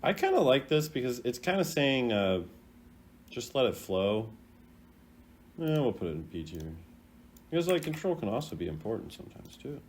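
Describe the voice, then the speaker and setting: A man's voice: mid-range voice, sing-song, moderate pace, pauses often, a little hiss or hum. A man giving casual, off-the-cuff commentary as a video host, rambling and playfully opinionated. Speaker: video host rating game voice lines.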